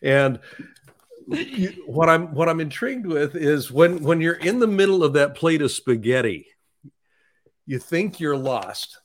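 A man hosting a conversational podcast interview, reflective and halting as he works his way toward questions. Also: on the high side, sing-song. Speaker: podcast host, author.

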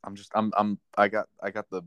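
A man's voice: on the low side, monotone, a little fast, fairly bright tone, smooth, no room sound. A man co-hosting a sports podcast in a casual, conversational style.

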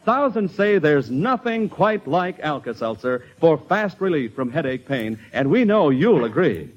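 A man reading a 1940s radio commercial as an announcer, with emphatic, polished salesmanship. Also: on the high side. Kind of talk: 1940s radio advertising pitch. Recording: dull vintage radio broadcast recording.

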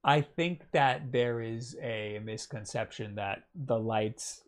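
A man's voice: medium pitch, takes it slowly. A young man co-hosting a casual podcast, rambling a little as he reads listener comments aloud. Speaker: podcast co-host.

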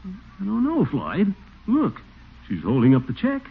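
Pompous middle-aged American blusterer, gullible and easily flustered, wildly swooping delivery, tinny hissy vintage radio comedy. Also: male voice, high voice, wildly swooping pitch, unhurried, creaky, slightly rough, full character voice. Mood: self-satisfied. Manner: blustering, chuckling, self-important. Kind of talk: 1940s-50s American radio comedy acting.

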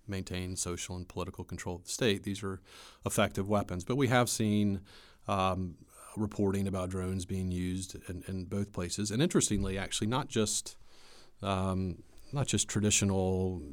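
Low voiced guy talking through analysis as an interview guest, measured, pretty dry close sound. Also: no echo. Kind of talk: conversational expert analysis in an interview.

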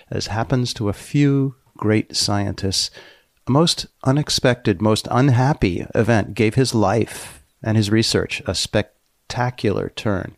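A man hosting a podcast, narrating from a script with deliberate pauses and dramatic emphasis. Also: on the low side, no room sound, clean studio sound. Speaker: podcast host.

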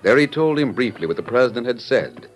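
A man's voice: mid-range voice, animated, fairly quick, poor audio. A man narrating an old-time radio adventure drama in a scripted storytelling style. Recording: old radio broadcast with music. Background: music.

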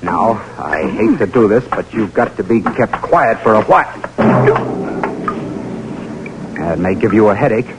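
An actor playing a daring, dogged American army lieutenant in a 1940s radio drama. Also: male voice, lowish voice, lively intonation, moderate pace, very noisy, natural voice. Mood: tense, commanding. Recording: old radio broadcast with music.

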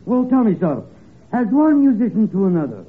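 An actor playing an eccentric Russian would-be musician in a radio comedy, with a mock Russian accent and grandly formal, fractured English. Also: male voice, sits high, very animated, fairly quick. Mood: pompous, absurd. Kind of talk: 1940s radio comedy acting. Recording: tinny, noisy vintage radio broadcast.

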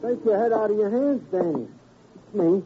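An American actor playing a wordy, mock-formal police sidekick in a radio crime drama, with stilted, ornate phrasing. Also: male voice, high voice, moderate pace, over music, lightly acted. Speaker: supporting actor. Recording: tinny, narrow old radio recording.

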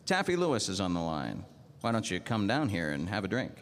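An actor playing a tough, terse, world-weary police detective narrating a 1940s-style noir radio drama. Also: male voice, lowish voice, big pitch swings, a little fast. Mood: wry, world-weary. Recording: radio play over prominent music.

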